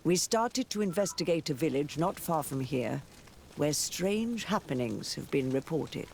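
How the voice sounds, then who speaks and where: An actor playing a dignified, pious high cleric in a video game, speaking formally and solemnly. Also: animated, a little slow, vocal fry, a little mellow, slight background noise. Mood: grave, fervent.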